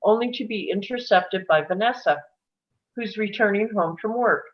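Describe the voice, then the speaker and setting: A woman's voice: medium pitch, moderate pace, muffled recording. A woman reading stage directions as scripted narration at a live table read.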